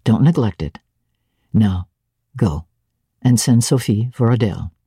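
A woman reader doing a brusque gentleman of the manor who fires off questions and orders. The voice sits very low and dark with a husky edge. It is recorded close and dry. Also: expressive, unhurried, no echo, heavily acted. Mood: commanding. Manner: curt, imperious questioning.

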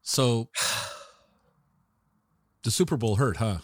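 An American man hosting a casual podcast interview in an informal, somewhat halting conversational way. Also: medium pitch, lively intonation, dry recording. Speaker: podcast host.

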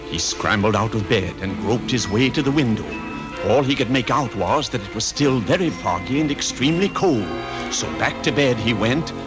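A man narrating a classic story in a measured, old-time radio drama style. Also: mid-range voice, lively intonation, low-quality recording. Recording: vintage radio recording with music.

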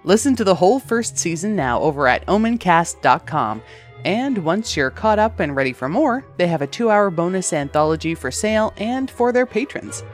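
Female podcast producer, scripted promo read, low voice, music bed underneath. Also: sing-song, moderate pace. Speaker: podcast producer introducing an episode. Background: background music.

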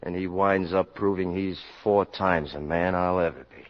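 Through a dull vintage broadcast comes an actor as a tired American doctor, a man with a very low voice. He is official and firm at work and hard on himself at home, in classic 1950s radio drama style. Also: fairly even intonation, normal speed, lightly acted. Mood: tired, contrite. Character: weary, self-reproaching male public health doctor, husband.

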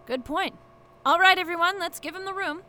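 Brisk high-voiced rebel's mother. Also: very noisy. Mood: concerned, brisk. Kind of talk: audio drama acting.

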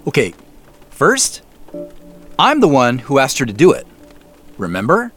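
Guy playing careful amateur detective in audio drama, chatty but measured, really varied pitch, music under it. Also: wildly swooping pitch, a bit bright. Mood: earnest, careful. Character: earnest, cautious amateur sleuth, an ordinary man. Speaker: lead character and narrator. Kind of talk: scripted audio drama, first-person narration and naturalistic phone dialogue. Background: background music.

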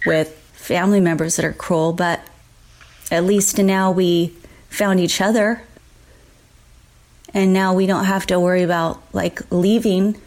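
A woman with a fairly low voice talks in an intimate, personal conversation. She is gentle and supportive, answering simply and murmuring as she listens. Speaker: daughter speaking with her biological father.

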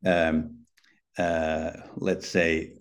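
Guy, middle-aged, really low voice, lots of ums and restarts, chatty guest. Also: flat delivery, very slow. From Finland. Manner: hesitant, many fillers and restarts. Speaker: podcast guest, healthcare consultant. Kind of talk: casual interview talk.

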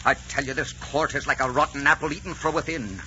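Casting: bold soldier earl, ardent and blunt, forceful tone, old radio drama with dull sound. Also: male voice, fairly high pitch, fairly even intonation, fairly quick. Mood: defiant. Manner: ardent, declamatory, defiant. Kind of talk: 1940s radio acting. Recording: hissy, dull 1940s radio recording.

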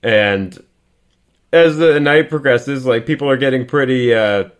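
A man's voice: medium pitch, a little slow. A young man from Canada co-hosting a casual podcast, rambling through a story with restarts and laughs. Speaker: podcast co-host. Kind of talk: casual chat. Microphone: podcast microphone.

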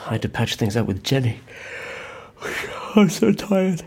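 A man's voice: mid-range voice, very animated, measured pace, vocal fry, a little mellow, breathy edge, heavily acted. An actor playing a tired, hapless male office worker, sheepish and hesitant, in naturalistic audio drama dialogue. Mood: weary, sheepish.

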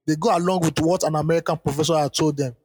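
Fast-talking husky man. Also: a little high, steady tone, a little mellow, no room sound, studio quality, in a large hall. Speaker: podcast co-host. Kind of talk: reading listener mail aloud, then casual discussion.

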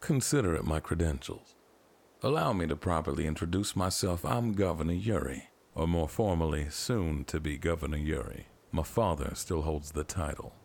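A man's voice: extremely low pitch, lively intonation, moderate pace, gravelly, a little mellow, full character voice. A man playing a formal, self-assured heir to a governor in an audio drama, speaking with pompous polish. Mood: self-important.

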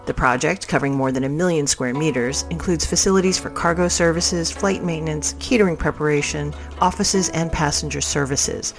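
A woman with a low-pitched voice reads scripted business news. Music is clearly audible behind her as she goes through the items. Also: brisk, hardly any pauses. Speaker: news reader.

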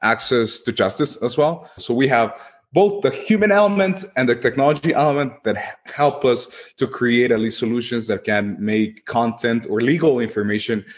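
Guatemalan guy, spanish accent, mid-pitched, earnestly explaining stuff in a podcast interview. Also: moderate pace. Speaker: podcast guest, legal tech executive.

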